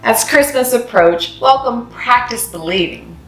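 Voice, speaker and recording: A woman's voice: on the high side, animated, unhurried. A woman narrating a children's story expressively, as a read-aloud host. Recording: roomy echo, music behind.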